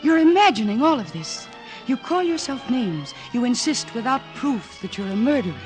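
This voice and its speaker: A woman's voice: fairly high pitch, very animated, normal speed. Devoted female doctor, earnest, insistent, 1940s radio drama style, music behind. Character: devoted, determined woman psychoanalyst in love with her patient. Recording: vintage radio broadcast with orchestral music.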